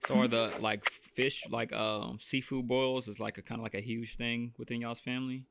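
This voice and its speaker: A man's voice: mid-range voice, level tone, moderate pace, gravelly, fairly bright tone. A man hosting a casual podcast interview, laid-back and slangy. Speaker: podcast host. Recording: dull lo-fi audio.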